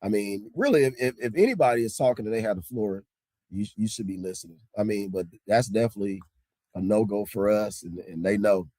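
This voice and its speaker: A man, a high school basketball coach, talking casually in an interview, emphatic and repeating points for stress. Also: a little fast, mellow, dry recording. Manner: strict, old-school tone.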